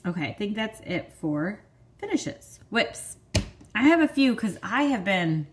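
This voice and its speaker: A woman's voice: medium pitch, very animated, measured pace, a little hiss or hum. A woman in her thirties with a Michigan accent, hosting a vlog in chatty, rambling casual talk full of fillers. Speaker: vlog host. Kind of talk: casual chat.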